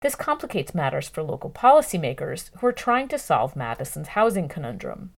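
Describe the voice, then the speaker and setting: Woman reading journalism, lively low-pitched delivery. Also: fairly quick, gravelly, husky. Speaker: narrator reading a news article aloud. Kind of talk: scripted narration of long-form journalism.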